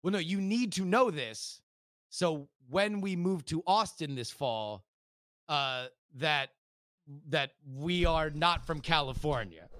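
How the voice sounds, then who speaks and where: This is a slightly higher-pitched man with a bright, forceful tone, recorded close and dry with no room sound. He co-hosts a comedy podcast over a video call and keeps pressing his points in an insistent, deadpan joking way. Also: takes it slowly, dry recording. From Florida. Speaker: podcast co-host. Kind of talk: comedic banter.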